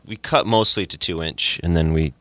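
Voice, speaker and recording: A man from Ann Arbor, Michigan, a bassist who runs a recording studio, talking in a relaxed, joking way about tape. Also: moderate pace, no room sound. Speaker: bassist and studio owner, guest.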